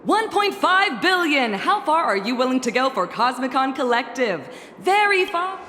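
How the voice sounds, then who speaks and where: A woman voicing a poised, formal female auctioneer in a video game cutscene, announcing in a measured way. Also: high-pitched, sing-song, bright, forceful tone, exaggerated voice. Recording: studio voice with long reverb and music.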